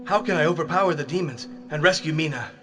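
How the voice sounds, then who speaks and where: An actor playing an earnest, anxious young husband and hero in a video game cutscene, urgent and insistent over music. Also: male voice, a little high, quick pace, a bit bright, close to an everyday voice. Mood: determined. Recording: music under the voice.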